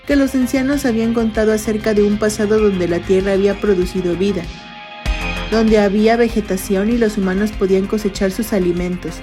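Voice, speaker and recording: A woman's voice: mid-range voice, steady tone, fairly quick, music in the background. Woman narrating a science fiction audio story. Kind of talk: scripted literary narration.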